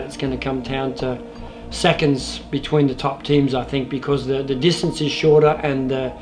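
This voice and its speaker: A man, a cyclist giving an interview, speaking in a measured way and often repeating words as he restarts. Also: on the high side, a little mellow. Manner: measured, with frequent word repeats.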